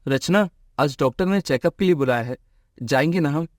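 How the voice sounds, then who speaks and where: A man's voice: a little high, normal speed, no room sound, studio quality, lightly acted. A man voicing a meek, ailing young husband in a moral story, pleading and submissive. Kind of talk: acted moral story dialogue for animation.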